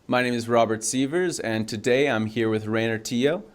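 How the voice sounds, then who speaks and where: A man's voice: mid-range voice, moderate pace, clear, resonant tone. Hesitant male interview host. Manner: hesitant, repeats words, many ums. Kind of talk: casual interview.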